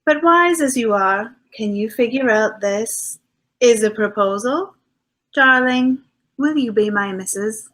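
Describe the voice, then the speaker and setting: A woman's voice: fairly high pitch, unhurried, fairly bright tone. A woman playing a teasing, affectionate detective's wife in 1940s radio acting style. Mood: teasing, romantic. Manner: playful, theatrical.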